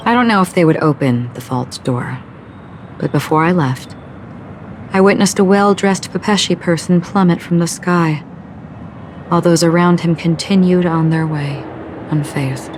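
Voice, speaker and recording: An actress voicing a calm, forgetful young swordswoman in a game, speaking in a measured, solemn way over game music. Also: a little hiss or hum, close to an everyday voice. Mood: reflective. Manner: measured, low, solemn delivery. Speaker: wandering swordswoman. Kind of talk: game voice acting. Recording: game audio with clear music behind.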